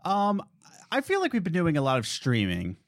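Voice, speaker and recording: Male podcast co-host, casual, lively pitch jumps, dry close-mic sound. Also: moderate pace, no room sound. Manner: swings between low easygoing talk and high excited bursts. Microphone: studio podcast mic.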